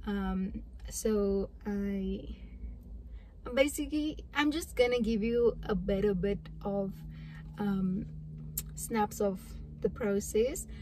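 A woman's voice: medium pitch, background noise. Chatty young woman in car. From South Africa. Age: young adult. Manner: chatty, rambling, animated hand gestures. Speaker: vlogger. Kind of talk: casual chat to camera. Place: parked car, indoors. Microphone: phone camera at arm's length.

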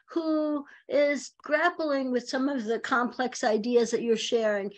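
Talkative woman coach in her 50s, high voice, lots of laughs, on a home video call. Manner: chatty and animated, laughs often. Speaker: communication coach. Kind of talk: conversational coaching advice. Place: home room, indoors. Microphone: video call webcam.

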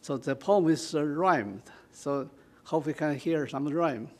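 A retired older man with a Chinese accent, halting and full of fillers, introducing and explaining a poem to a small audience. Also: on the high side, a little hiss or hum. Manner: halting, with many fillers and restarts. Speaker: poetry reader. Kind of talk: informal reading and explanation at a community event. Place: small community room with an audience. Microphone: stand microphone.

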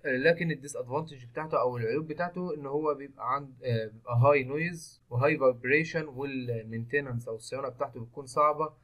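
A man's voice: medium pitch, racing, hardly any pauses, fairly bright tone. A man with an Egyptian Arabic accent giving a technical lecture over slides, explaining step by step and mixing in English technical terms. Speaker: engineering lecturer. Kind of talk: screen-recorded technical lecture.

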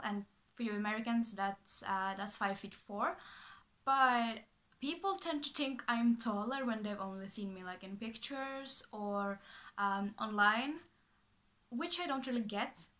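A woman's voice: a little high, pushed and bright. A young woman from Finland with a Finland Swedish accent, native speaker of Swedish, chatting to camera in her small bedroom from a script, bubbly and full of fillers and restarts. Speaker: vlogger. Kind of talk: casual talking to camera, reading from a script.

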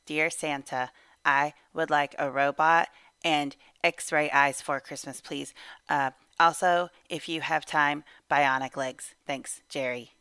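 A woman's voice: deep voice, steady tone, takes it slowly, sharp tone, clear, resonant tone, no echo, heavily acted. A woman voicing a young boy who bluntly lists his big wishes to Santa in a storybook. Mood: matter-of-fact, wishful.

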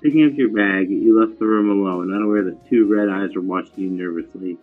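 A man's voice: fairly low pitch, brisk, faint music behind. A man narrating fan fiction aloud in a casual style, with joking asides. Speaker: fan fiction reader and commentator. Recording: thin, phone-like lo-fi audio.

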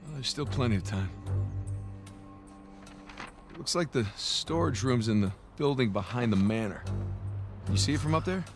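A man's voice: medium pitch, animated, moderate pace, pauses often, music in the background, close to an everyday voice. An actor with an American accent playing a confident, loyal adventurer in his thirties in a game cutscene, casual, reassuring and earnest. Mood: focused, casual. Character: confident, loyal adventurer man planning a heist. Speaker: video game character. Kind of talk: naturalistic game cutscene dialogue.

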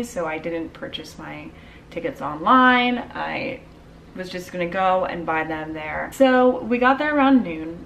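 A woman's voice: lowish voice, a bit bright, recorded from a distance, slight background noise, low-quality recording. A young woman in her twenties telling a casual storytime vlog at home, chatty with lots of "like" and "um". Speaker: vlogger. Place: dim living room at home.

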